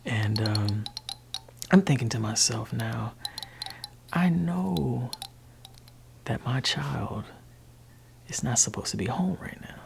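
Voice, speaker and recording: A man voicing a gentle, laid-back father in an ASMR roleplay, talking softly and whispering to his teenage child in a teasing way. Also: vocal fry, slight background noise, exaggerated voice. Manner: soft-spoken, shifting between low voice and whisper. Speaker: ASMR creator.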